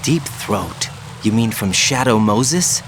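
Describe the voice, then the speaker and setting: A man's voice: lowish voice, expressive, slow, fairly bright tone, a little hiss or hum. An actor playing an earnest young male rookie operative who asks lots of questions. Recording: game cutscene with music.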